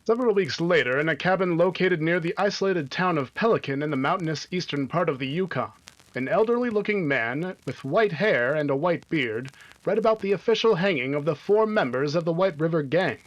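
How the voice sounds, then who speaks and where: Slightly high-voiced male radio narrator. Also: animated, a little fast, dull audio. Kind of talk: scripted old-time radio drama narration.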